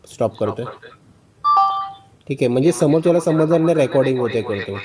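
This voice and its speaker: A man's voice: mid-range voice, lively intonation, a little fast, over a noisy crowd. A man narrating a phone tutorial step by step, often repeating instructions and check phrases. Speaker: tutorial host.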